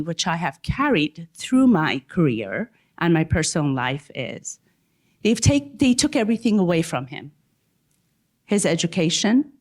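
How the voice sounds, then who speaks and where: A woman from Iran sharing a personal story on a panel, measured and emphatic, drawing some words out slowly. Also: fairly low pitch, darkish tone.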